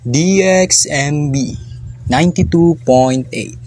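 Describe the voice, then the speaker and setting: A Filipino-accented man announces a radio show from a script. His voice is fairly high and slow-paced, with faint music behind it. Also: darkish tone. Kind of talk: scripted station ident and show intro.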